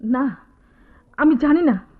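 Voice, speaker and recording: A bitter, sarcastic wife in an old-style radio melodrama. Her high voice swings widely in pitch as she accuses. The recording is thin and tinny. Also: animated, slow. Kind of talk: Bengali radio drama acting.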